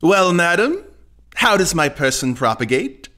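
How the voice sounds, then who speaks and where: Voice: medium pitch, big pitch swings, unhurried, sharp tone. An actor playing a pompous, pedantic trickster who poses as an apothecary suitor, declaiming floridly in a classical audio farce. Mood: hopeful. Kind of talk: classical stage farce acting in an audio play.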